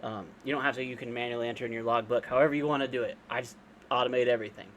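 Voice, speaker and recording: A man giving an informal, unscripted software walkthrough, explaining step by step in a casual way. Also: mid-range voice, steady tone, very fast, slight background noise. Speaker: amateur radio operator giving a software tutorial. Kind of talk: informal screen-share tutorial, unscripted walkthrough.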